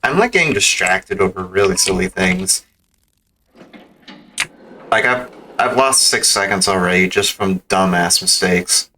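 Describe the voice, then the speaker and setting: A man's voice: low voice, lively intonation, measured pace, pauses often, bright, forceful tone, slight background noise. A man streaming a speedrun with casual, rambling, self-critical commentary.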